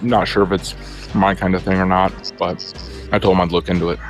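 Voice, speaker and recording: A man's voice: low-pitched, little pitch movement, a little fast. A man playing a tabletop role-playing game on a headset, improvising dialogue in a conversational, matter-of-fact way. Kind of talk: casual in-character improvised dialogue. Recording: music playing behind the voice.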